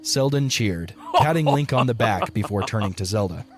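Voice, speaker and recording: Low-voiced man narrating a story. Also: animated, normal speed, soft background music.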